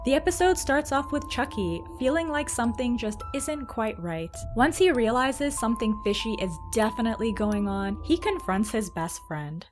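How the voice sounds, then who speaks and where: Higher-voiced woman narrator over music. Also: lively intonation, normal speed, few pauses. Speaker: host and narrator of a countdown video. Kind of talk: scripted narration about cartoons. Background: music behind the voice.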